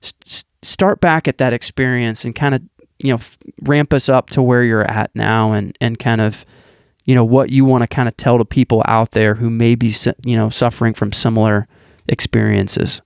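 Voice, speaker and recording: A male host asking a long, halting interview question with stammered restarts. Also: mid-range voice, steady tone, vocal fry, smooth, no room sound, clean studio sound.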